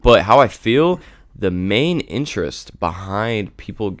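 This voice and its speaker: A man's voice: lowish voice, very animated, slow. A young man in casual chat, laid-back and slangy, swearing freely, talking into a handheld mic. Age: young adult.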